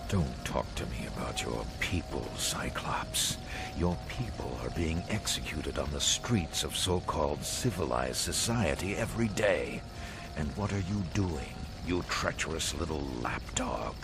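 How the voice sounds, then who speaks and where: A man's voice: a bass voice, lively intonation, measured pace, vocal fry, exaggerated voice. An actor playing an imperious male mutant overlord who lectures with contempt. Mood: scornful. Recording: music score loud behind.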